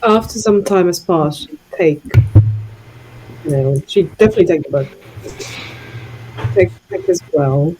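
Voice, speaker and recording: A woman's voice: fairly low pitch, measured pace, long pauses, dark tone, slight background noise, low-quality recording. A woman improvising a story as a player in a tabletop roleplaying show, speaking tentatively.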